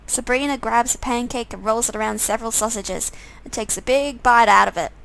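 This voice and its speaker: A woman's voice: a little high, a little fast, gravelly, a bit bright, poor audio. A woman streamer reading a visual novel game aloud as second-person story narration.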